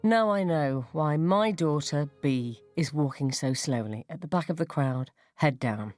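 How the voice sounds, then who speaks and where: Expressive low-voiced woman reading a story. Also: a little slow, dry recording, soft background music. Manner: wry, expressive, sardonic. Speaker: short story reader. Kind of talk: dramatised first-person literary narration for radio.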